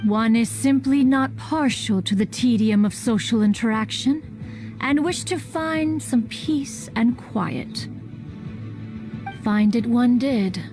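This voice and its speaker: An ancient, reclusive female immortal, proud and stern. The voice is fairly high and unhurried, pronouncing formal, archaic lines with imperious weight over game music. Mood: aloof, impatient. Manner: formal, imperious, archaic phrasing, refers to self as "one". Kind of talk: stately video game character acting.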